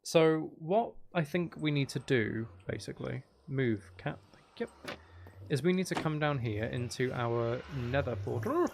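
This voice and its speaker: A man's voice: medium pitch, lively intonation, unhurried, creaky, a little hiss or hum. A man giving casual gameplay commentary, rambling and thinking aloud to himself as a gaming video host.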